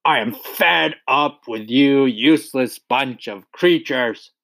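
Storybook tyrant headmistress, played by a man: big, furious, bellowing, slow accusing roars. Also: medium pitch, gravelly, a bit bright, dry recording, voice pushed up. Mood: indignant, fed up. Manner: roaring, drawn-out accusing delivery.

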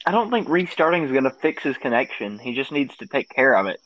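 A man's voice: medium pitch, sing-song, fast talker, fairly bright tone. A young man in casual, teasing banter with friends as a podcast co-host on an online call. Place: remote voice call. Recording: dull lo-fi online call audio.

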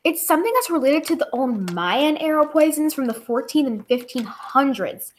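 A woman's voice: sits high, animated, moderate pace. A woman playing an inquisitive, flustered female doctor in a 1940s radio drama, exclamatory and full of questions. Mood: earnest, puzzled. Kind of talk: 1940s old-time radio acting. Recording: clearly noisy recording with clicks.

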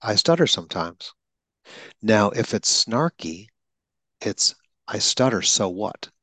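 A man's voice: fairly low pitch, sing-song, slow, sharp tone, no echo. A man interviewing a guest about stuttering, speaking emphatically and acting out example lines. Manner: emphatic, gives vivid examples and acts out lines. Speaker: interviewer, speech coach who stutters. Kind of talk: coaching conversation.

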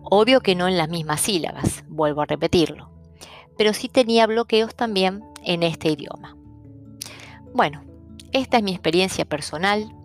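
A middle-aged woman from Argentina with an Argentine accent, calmly explaining things in a personal talk. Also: fairly low pitch, normal speed, faint music behind. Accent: Argentine Spanish. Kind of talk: personal talk on stuttering and languages.

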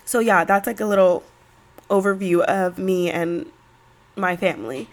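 A woman's voice: fairly low pitch, measured pace. A woman in her thirties, stuffy from a cold, chatting casually and rambling on her own podcast. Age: 30s. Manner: chatty, rambling, slangy, laughs between thoughts. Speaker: podcast host. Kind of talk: casual solo podcast chat.

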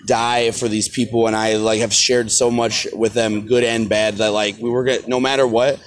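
Man, twenties, earnest, halting, mid pitch. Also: level tone. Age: 20s. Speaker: protest group member. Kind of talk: casual group chat.

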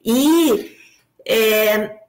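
A Brazilian woman speaking as an academic commentator in an online debate, reflective and analytical, pausing often and checking in with short tag questions. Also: fairly high pitch, poor audio. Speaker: academic commentator on a research paper.

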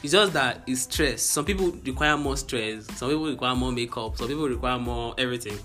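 A young man hosting a casual podcast, playful and quick to laugh. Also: mid-range voice, over music. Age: young adult. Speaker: podcast host. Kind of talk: casual chat.

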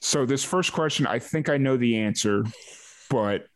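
A man's voice: mid-range voice, normal speed. Laid-back man hosting an interview. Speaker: podcast host. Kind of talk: casual chat interviewing.

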